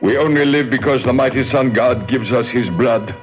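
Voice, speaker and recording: An actor playing a commanding ancient priest of a sun god in a 1970s radio drama, speaking in formal, oratorical phrases. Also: male voice, medium pitch, moderate pace, lightly acted. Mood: stern, menacing. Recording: muffled 1970s radio broadcast, music behind.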